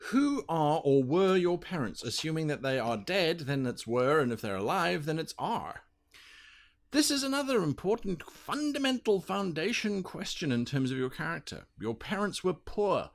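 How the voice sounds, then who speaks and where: Animated, higher-pitched man explaining emphatically. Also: normal speed, gravelly. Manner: emphatic, rhetorical, speaks in repeated parallel phrases. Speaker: podcast co-host. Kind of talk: explanatory discussion of tabletop roleplaying.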